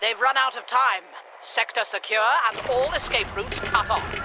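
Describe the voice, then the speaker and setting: Very high-voiced stern Imperial colonel. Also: male voice, moderate pace, background noise. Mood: cold satisfaction. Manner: commanding, crisp, authoritative. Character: stern, cold Imperial colonel commanding troops. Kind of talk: scripted game mission briefing.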